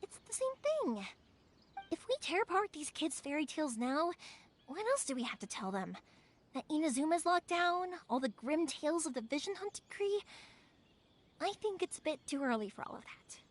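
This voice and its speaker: A woman playing a cheerful, warmhearted young fireworks maker in a video game, chatty, bubbly and expressive. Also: sits high, normal speed, a little hiss or hum. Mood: earnest. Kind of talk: animated video game voice acting. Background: background music.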